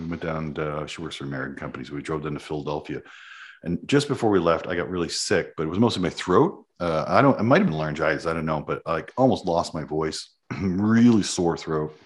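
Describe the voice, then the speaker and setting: A man's voice: rumbling low, lively intonation, quick pace. An easygoing man co-hosting a casual chat, with loose talk full of fillers. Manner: easygoing, says many fillers like "like" and "mm". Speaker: podcast co-host.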